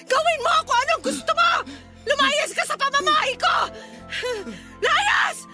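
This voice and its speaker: Betrayed female lover in radio drama, extremely high and sharp, emotional, accusing, faint music behind. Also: moderate pace, exaggerated voice. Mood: furious, hurt. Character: betrayed female lover, hurt, furious, confrontational.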